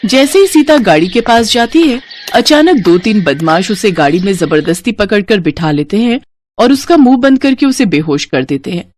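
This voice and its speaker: A woman's voice: medium pitch, animated, moderate pace, a little mellow, slight background noise. A woman reading scripted narration as the narrator of a story.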